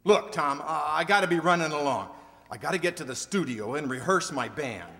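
A man's voice: a little high, animated, fairly quick, pushed and bright. A man playing a cocky, pompous, slangy bandleader in an old-time radio comedy. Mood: brash. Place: amateur stage reading in a hall. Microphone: stand microphone. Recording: echoey stage in a large hall.

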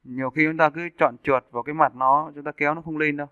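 A man giving a casual, step-by-step software tutorial as an animation instructor. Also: fairly high pitch, steady tone, fast talker, smooth, dry recording. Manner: informal step-by-step explaining. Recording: muffled narrowband phone-like audio.

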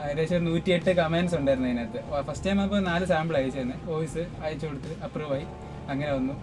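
Brief: male, high voice, rapid casual interview delivery, music clearly behind. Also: low-quality recording. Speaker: interviewee, sound engineer and dubbing artist. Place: small dubbing studio suite.

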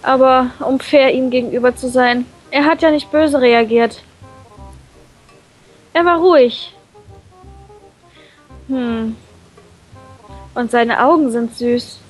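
A high-pitched woman narrates a visual novel casually and slowly. She reads like someone sharing a game with friends, with music playing behind her. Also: poor audio. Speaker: co-reader in a group visual novel let's play. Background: background game music.

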